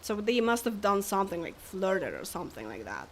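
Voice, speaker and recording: A woman's voice: medium pitch, sing-song, a little fast, a little hiss or hum. A young woman from Poland, chatting casually and laughing as she streams a life-simulation game. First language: Polish. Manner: chatty, laughs often, talks to game characters. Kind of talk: casual live game commentary.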